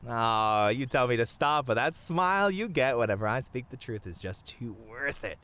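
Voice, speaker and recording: A man playing a sweet, expressive bashful boyfriend. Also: mid-range voice, smooth. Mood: fond, lighthearted. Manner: soft-hearted, halting, sighing, chuckling, gently earnest. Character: sweet, bashful young boyfriend, tender and adoring. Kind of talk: romantic ASMR roleplay monologue to the listener.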